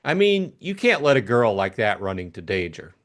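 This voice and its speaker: A man voicing a breezy, eager young upper-class gentleman in an audiobook mystery, with chummy, exclamatory, slangy talk. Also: fairly low pitch, big pitch swings, normal speed. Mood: chummy, earnest.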